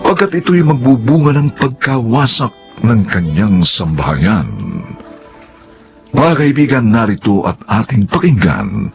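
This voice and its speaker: A man's voice: mid-range voice, big pitch swings, a little slow. A man with a Filipino accent giving solemn, formal scripted narration as a drama announcer. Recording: music under the voice.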